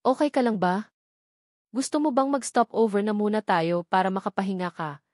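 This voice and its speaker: Woman voicing a tender, caring Filipino gentleman. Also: mid-range voice, normal speed, no room sound, clean studio sound, lightly acted. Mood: concerned, tender. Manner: tender, earnest. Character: gentle, caring wealthy man, attentive suitor.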